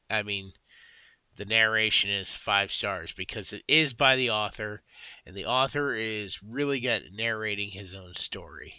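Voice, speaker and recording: A man's voice: mid-range voice, pushed and bright, clean tone, no room sound, dull audio. A young adult man giving a casual, rambling book review, full of fillers and restarts.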